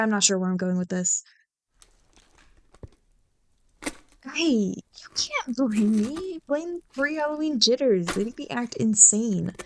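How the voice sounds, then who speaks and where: A woman's voice: on the high side, a little hiss or hum. A woman acting a playful, teasing young childhood friend in a casual, joking ASMR roleplay. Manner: bubbly, joking, playfully bantering. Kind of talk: ASMR roleplay, one-sided casual conversation.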